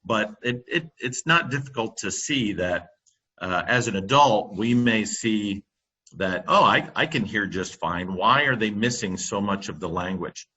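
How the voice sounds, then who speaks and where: A middle-aged man giving a presentation over a computer microphone, halting often with ums and restarts. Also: lowish voice, animated, bright, forceful tone, husky. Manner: halting, many fillers and restarts. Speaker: company presenter on classroom audio. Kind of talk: webinar presentation.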